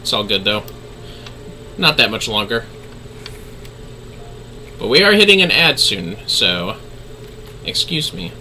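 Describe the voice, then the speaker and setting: Male game streamer, very bright lively voice, easygoing and chatty, slight background noise. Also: lowish voice, slow pace, pauses often. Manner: easygoing, chatty, calls viewers fellas. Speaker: livestreaming gamer host. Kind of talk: casual gaming livestream chat.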